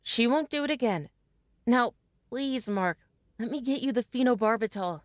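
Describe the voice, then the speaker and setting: A woman's voice: fairly high pitch, animated, normal speed, background noise, lightly acted. A woman playing an anxious, devoted middle-aged wife and mother in old time radio drama style, hesitant and pleading. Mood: soothing. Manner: hesitant, breaking off mid-sentence.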